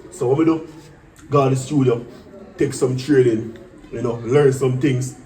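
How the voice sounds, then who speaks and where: A man with a Jamaican accent telling stories casually in Patois in an animated way, acting out what people said. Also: medium pitch, normal speed. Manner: animated, acts out quoted speech. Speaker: musical artist telling career stories. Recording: distant mic with faint background noise.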